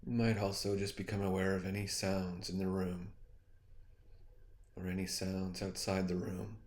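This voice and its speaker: A man leading a guided meditation as a teacher, speaking in a soothing, gently suggestive way with long, unhurried pauses. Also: low voice, little pitch movement. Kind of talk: guided meditation, hypnotic spoken guidance.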